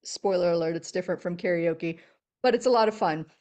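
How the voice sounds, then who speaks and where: A middle-aged woman from Texas with a deep voice, a podcast guest chatting casually and telling animated stories. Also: fairly quick. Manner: animated storyteller, laughs often. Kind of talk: casual interview chat.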